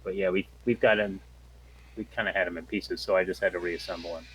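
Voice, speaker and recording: A man's voice: sits low, steady tone, fast talker, husky, low-quality recording. A man playing a tabletop game, talking casually and tentatively as he thinks aloud.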